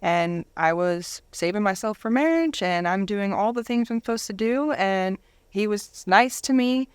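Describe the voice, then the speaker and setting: A woman's voice: medium pitch, animated, unhurried, clean tone, no room sound. A woman in her thirties telling her personal story candidly as a guest in a casual interview. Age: 30s.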